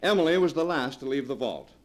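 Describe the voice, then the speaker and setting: A man's voice: mid-range voice, lively intonation, fast, natural voice. Man playing a suave, scheming nephew. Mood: sardonic. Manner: suave, mocking. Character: scheming nephew, smooth and sardonic. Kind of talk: 1940s radio acting. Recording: old radio broadcast recording.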